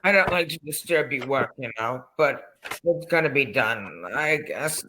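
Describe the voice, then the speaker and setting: A man's voice: mid-range voice, lively intonation, measured pace, background noise, lightly acted. A man acting in an old-time radio drama as a folksy, courteous, rambling old coffin salesman with a sinister politeness. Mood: sly, apologetic. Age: older.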